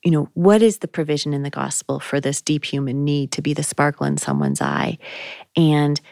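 Low-voiced woman musing on faith. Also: lively intonation, moderate pace, vocal fry, darkish tone, dry recording, studio quality. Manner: thoughtful, searching, with frequent ums. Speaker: podcast co-host. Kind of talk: reflective faith conversation.

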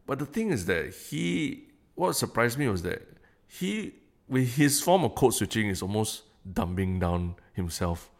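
A man with a Singaporean accent on a podcast, building his points slowly, often restarting words. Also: on the low side, big pitch swings, gravelly. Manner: thoughtful, repeats words while building a point. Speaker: podcast co-host. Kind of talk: casual podcast chat.

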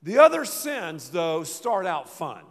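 High-pitched pastor guy giving a sermon, goes dramatic then quiet, big echoey church. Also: very animated, slow. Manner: playful, dramatic preaching with sudden drops to a low murmur. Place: large church hall. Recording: reverberant church hall.

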